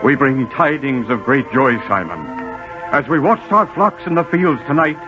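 An actor playing an awestruck, devout shepherd with a fervent, exalted manner, in 1940s radio acting style. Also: male voice, mid-range voice, lively intonation, normal speed, close to an everyday voice. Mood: awestruck, joyful. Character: awestruck, devout male shepherd bearing glad news. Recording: lo-fi 1940s radio recording, music behind.

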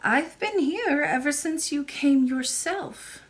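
A woman voicing a very old, kindly and mysterious royal great-great-grandmother in a storybook, speaking in a calm, measured way. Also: sits high, voice pushed up. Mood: serene. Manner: measured, gently assured.

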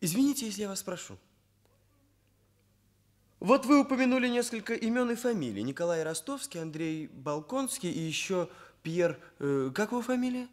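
An actor playing an earnest, self-important young trainee hairdresser in a radio drama, speaking in solemn, pedantic, stilted phrases. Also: male voice, sits high, animated, normal speed. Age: young adult. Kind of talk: Soviet radio drama acting.

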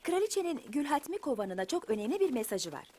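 A dignified grown-up lady bee from a children's cartoon. Her voice is on the high side, and she speaks with formal courtesy and measured, schoolmistress-like politeness. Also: very animated, warm tone. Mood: polite, formal. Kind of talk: dubbed children's cartoon acting.

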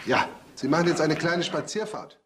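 An actor playing a brisk police detective who asks clipped, probing questions in a crime radio drama. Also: male voice, fairly high pitch, roomy sound, background noise, lightly acted.

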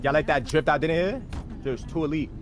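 A man's voice: a little high, brisk. A man in a role-play game, chatting casually over engine noise. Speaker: fellow role-play gamer.

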